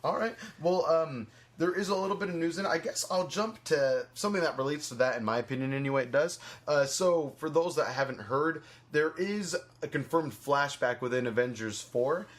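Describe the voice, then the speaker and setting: A man's voice: fairly high pitch, normal speed, few pauses. A man hosting a movie podcast in a casual, rambling way, swearing freely as he chats. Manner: rambling, foul-mouthed. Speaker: podcast host.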